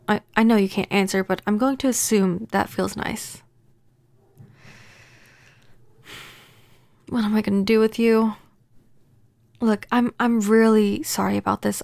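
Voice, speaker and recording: A woman playing a gentle, apologetic vampire neighbor in a soft-spoken, hesitant ASMR audio roleplay. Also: fairly high pitch, creaky, no echo. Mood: soothing, regretful. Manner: hesitant, stammering, earnest.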